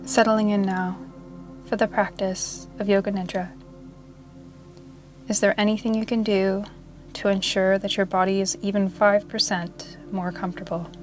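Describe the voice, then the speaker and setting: Even-toned woman guiding meditation over music. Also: medium pitch. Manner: slow, soothing, measured delivery with long pauses. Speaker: yoga nidra meditation guide. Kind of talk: guided meditation narration.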